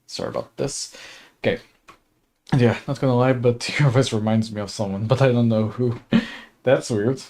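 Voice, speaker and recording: A man's voice: mid-range voice, fairly even intonation, moderate pace, long pauses, darkish tone. A young man from Slovakia streaming a game, chatting casually, laughing often and reading game text aloud. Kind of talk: casual gaming livestream chat. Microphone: desk mic.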